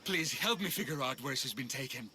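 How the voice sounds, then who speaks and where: A man's voice: mid-range voice, expressive, a little fast, bright, forceful tone, a little hiss or hum. An actor playing an earnest, troubled male healer in a video game, speaking with grave intensity. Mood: troubled, urgent. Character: earnest, troubled young healer seeking justice. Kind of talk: dramatic video game cutscene acting. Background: music.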